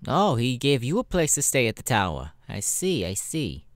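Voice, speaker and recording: A jaded male spider demon, played by a man for an ASMR roleplay. His pitch swings widely as he swears and drawls with weary sarcasm, recorded close and dry. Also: big pitch swings, normal speed, dry recording. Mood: bitter, resigned. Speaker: voice actor, ASMR roleplay artist. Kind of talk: ASMR character audio roleplay.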